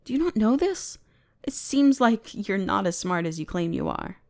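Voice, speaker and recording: A woman's voice: mid-range voice, big pitch swings, moderate pace, a little mellow. A woman playing a bratty, haughty female elf mage, bossy, exasperated and condescending, in a scripted roleplay audio drama. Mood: flustered, indignant.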